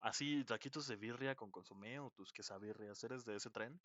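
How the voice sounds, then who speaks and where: A man's voice: medium pitch, moderate pace, fairly bright tone, dry recording. Young Mexican man hosting a podcast. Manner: friendly, laughs often. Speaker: podcast host, indie game developer. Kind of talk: casual podcast interview. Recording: dull lo-fi mic, dry.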